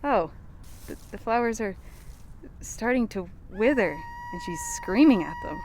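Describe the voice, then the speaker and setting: Woman playing young investigator, highish voice, anxious, reluctant, exclamatory, skeptical, audio drama style, faint background noise. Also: lively intonation, measured pace. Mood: startled, narrating. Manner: nervous, exclamatory.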